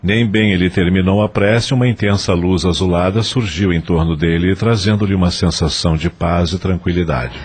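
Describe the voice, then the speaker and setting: A man's voice: sits low, normal speed, few pauses, breathy edge. A man with a Brazilian accent narrating an audio novel in a scripted, dramatic style.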